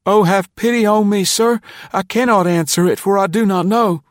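An earnest, polite teenage boy in an audiobook tale, voiced by a man, pitched well up from a grown man's register. He is a frightened, pleading youth, and the sound is close and dry. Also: fairly even intonation, unhurried, no room sound, natural voice. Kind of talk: audiobook character dialogue.

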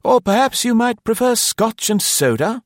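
High-pitched, hearty, fussy guesthouse host. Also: male voice, big pitch swings, normal speed, no room sound, clean studio sound. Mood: pleasant, obliging. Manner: jaunty, effusively polite, clipped phrases. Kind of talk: audiobook character voice.

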